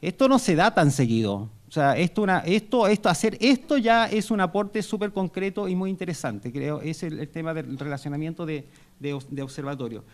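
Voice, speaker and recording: A man with a Chilean accent speaking at a university panel. His voice sits high for a man. He talks in a loose, conversational way, stopping to restart his sentences and filling pauses with "eh". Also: expressive. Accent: Chilean Spanish. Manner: conversational, with many fillers and restarts. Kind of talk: public talk at a panel. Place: university lecture hall.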